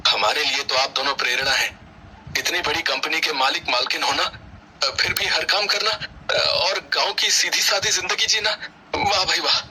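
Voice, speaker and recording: Man acting a fawning, high-pitched businessman. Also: normal speed, sharp tone, a little hiss or hum, poor audio. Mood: flustered, fawning. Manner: effusive, stammering repetition. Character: fawning, deferential businessman client, amazed and reverent.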